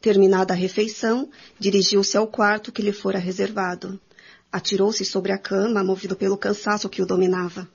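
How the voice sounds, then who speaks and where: A woman's voice: medium pitch, flat delivery, fairly quick, creaky, slightly rough. A woman reading scripted dramatic narration for a radio drama. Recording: dull recording, faint music behind.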